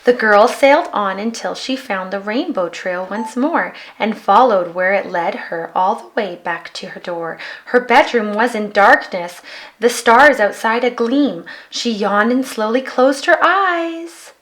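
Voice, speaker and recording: A woman reading a children's picture book aloud as an expressive storyteller. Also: on the high side, a little slow, rough recording. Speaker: storybook reader.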